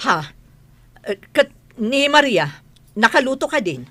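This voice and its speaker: Flippant wife with a swooping, highish voice. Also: female voice, very animated, unhurried, fairly bright tone, dry recording, full character voice. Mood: startled. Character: careless, defensive young wife and mother. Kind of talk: radio drama acting.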